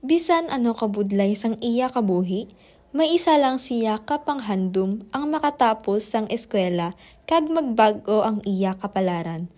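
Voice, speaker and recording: A woman's voice: fairly high pitch, animated, measured pace, dark tone. A woman with a Filipino accent narrating a scripted radio drama. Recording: music bed under the voice.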